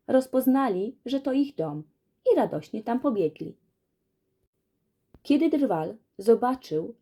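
A woman narrating a children's fairy tale as a storyteller, in an expressive scripted reading. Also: mid-range voice.

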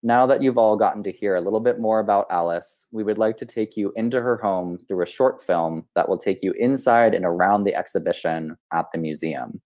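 Male host, thirties, dark relaxed low voice, scripted event introduction. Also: a little fast, few pauses, no echo, muffled recording. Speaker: host and curator. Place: indoor room, video call.

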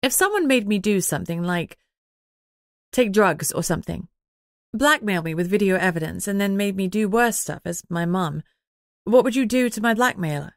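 A woman's voice: medium pitch, animated, moderate pace, creaky, dry recording, studio quality. A woman voicing a frank young heroine in an audiobook, earnest and wry. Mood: embarrassed, insistent.

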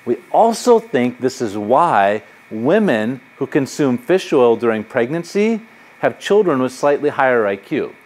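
Animated middle-aged man lecturing in a hall. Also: medium pitch, a little slow. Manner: animated, gesturing, conversational and anecdotal. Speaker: psychology professor. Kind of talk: public lecture with audience questions.

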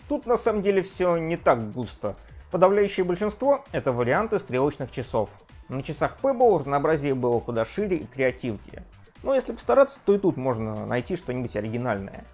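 A man in his thirties hosting a scripted tech review vlog from a room at home, lively and self-mocking. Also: a little high, fairly quick, soft background music. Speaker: tech video host. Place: home room with desk and gear.

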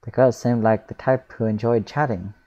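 A man's voice: medium pitch, steady tone, normal speed, a little mellow, muffled recording. A man giving a casual read-aloud narration of a visual novel.

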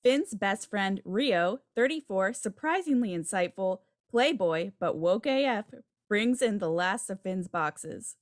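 A woman's voice: mid-range voice, lively intonation, unhurried, hardly any pauses, no echo, clean studio sound. A woman reading stage directions as scripted narration for a table read. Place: home room, video call.

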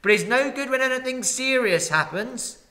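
A man voicing a crowd of angry townsfolk, loud and clamouring. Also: very high voice, lively intonation, moderate pace, pitched above the performer's own voice, exaggerated voice. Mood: grumbling.

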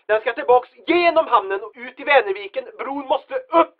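An actress playing an urgent, bossy adventure heroine in an audio drama, giving clipped orders through a telephone filter. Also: female voice, sits high, expressive. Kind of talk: comedic audio drama acting. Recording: thin band-limited phone line.

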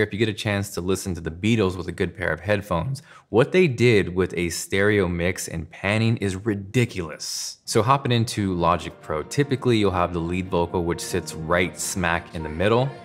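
Low-voiced American man explaining tech. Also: normal speed, hardly any pauses, faint music behind. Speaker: tech reviewer and video host. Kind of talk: scripted explainer narration to camera.

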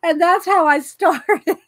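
Middle-aged woman, high voice, loud and chatty craft host, laughs a lot. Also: takes it slowly, no echo. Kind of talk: casual live craft chat. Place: home craft room. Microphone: overhead camera pointing down at desk.

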